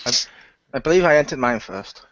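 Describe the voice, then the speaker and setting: A man's voice: mid-range voice, expressive, a little fast, dry recording. A man, a cast member of a radio play, joking crudely and laughing in a casual online group chat over muffled audio.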